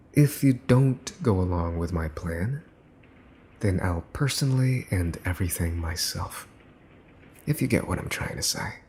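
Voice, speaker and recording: A man playing a smug mafia boss's son in an ASMR roleplay, teasing and condescending as he makes cool, possessive threats with pauses for effect. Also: lowish voice, a little mellow, a little hiss or hum. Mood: condescending, menacing. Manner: teasing, smooth-talking, condescending, pausing for effect. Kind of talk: ASMR boyfriend roleplay, scripted monologue to listener.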